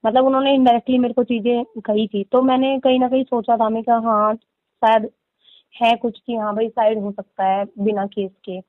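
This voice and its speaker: A woman's voice: on the high side, flat delivery, moderate pace, no room sound. Deferential woman on a tinny phone line. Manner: deferential, says "sir" often, constant murmured agreement. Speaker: lawyer acting as go-between. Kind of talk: phone conversation.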